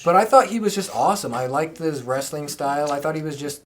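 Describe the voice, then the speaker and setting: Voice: medium pitch, lively intonation, quick pace. A podcast co-host chatting casually and enthusiastically as a wrestling fan.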